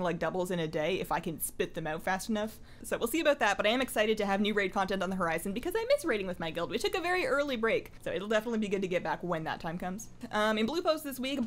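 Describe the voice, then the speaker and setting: A woman's voice: medium pitch, a little hiss or hum. A woman chatting casually to camera as a gaming vlogger at her desk, with playful asides and self-interruptions. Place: indoors at a home desk.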